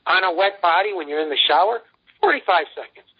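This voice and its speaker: High-pitched salesman on a muffled phone line. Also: expressive, brisk, husky. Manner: emphatic, boastful salesman, anecdotal. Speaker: interview guest, company founder and CEO. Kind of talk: promotional radio interview talk, sales pitch.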